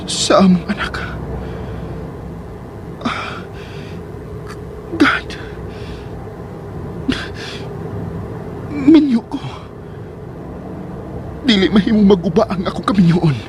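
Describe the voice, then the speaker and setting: A man playing a troubled, guilty married man in a radio drama monologue, halting and anguished. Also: sits high. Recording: noisy ambience behind the voice.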